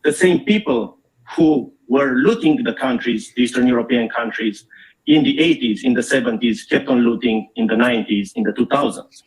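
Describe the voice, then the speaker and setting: A middle-aged man from Romania speaks at a forum in an earnest, measured voice. He pauses often with small fillers as he lays out his points. His pitch sits in the ordinary middle range. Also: low-quality recording. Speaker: investigative journalist speaking at a forum. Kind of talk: prepared public talk.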